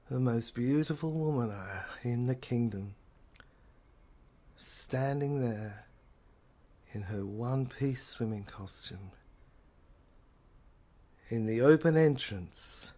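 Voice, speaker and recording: Woman reading an audio play, really slow n hushed, intimate, pauses between phrases. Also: extremely low pitch.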